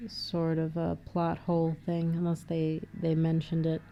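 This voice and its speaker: A woman with a nasal voice, co-hosting a casual film commentary with quick asides and plenty of fillers. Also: low-pitched, monotone, dark tone, lo-fi sound. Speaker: podcast co-host.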